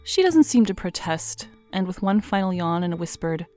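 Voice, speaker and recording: A woman reading scripted narration for an audio drama, in a lively, expressive way. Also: lowish voice, normal speed, faint music behind.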